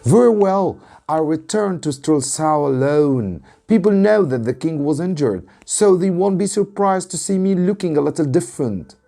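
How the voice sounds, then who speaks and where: Man acting a weak, high-voiced grateful king. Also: lively intonation, normal speed, vocal fry, hardly any pauses, dark tone, soft background music. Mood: grateful, earnest. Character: weak, grateful, friendly king recovering in bed.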